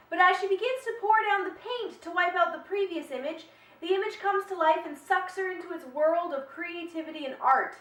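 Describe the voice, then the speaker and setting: A woman delivers chatty, enthusiastic vlog commentary. Her voice is extremely high and bright. It sounds recorded in an echoey room, a little way from the microphone. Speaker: animation vlog host reviewing short films. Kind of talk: upbeat vlog review commentary. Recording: echoey room, distant from mic.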